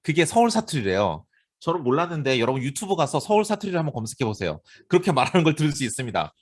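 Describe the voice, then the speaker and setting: Brief: Korean male professor in his forties, Seoul accent, fast and animated, slightly high, quizzes the class as he goes. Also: husky. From Seoul, South Korea. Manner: lively, talkative, addresses students directly with rhetorical questions. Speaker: university lecturer. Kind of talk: classroom lecture.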